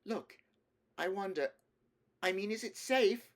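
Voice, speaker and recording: A man's voice: high-pitched, takes it slowly, voice pushed up. A man voicing a cautious, anxious girl in a storybook, speaking in a hesitant, worried manner. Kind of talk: storybook character voice.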